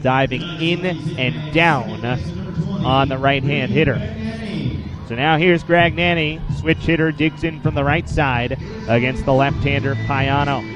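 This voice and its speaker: Young man calling baseball play-by-play. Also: mid-range voice. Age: 20s. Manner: measured, descriptive, fills pauses with statistics. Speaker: play-by-play sportscaster. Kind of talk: live radio baseball play-by-play commentary.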